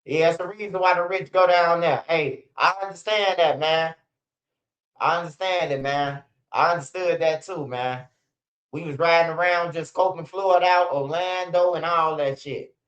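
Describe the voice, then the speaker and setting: Man, 40s, livestream host, blunt and profane, a bit high-pitched, muffled lo-fi audio. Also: steady tone, moderate pace, fairly bright tone. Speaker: host of a street news channel. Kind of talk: casual livestream storytelling.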